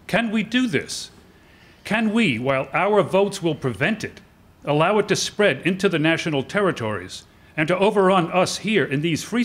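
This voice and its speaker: A man's voice: fairly high pitch, a bit bright, breathy edge, exaggerated voice. An older man playing a principled, resolute statesman delivering a political oration in a staged radio-play reading. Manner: rhetorical, oratorical. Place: indoor hall with seated audience. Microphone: lectern microphone.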